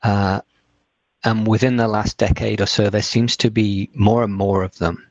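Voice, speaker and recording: A man interviewing a guest, asking measured questions with light fillers. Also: on the low side, little pitch movement, a little mellow, dry recording. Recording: dry, dull lo-fi sound.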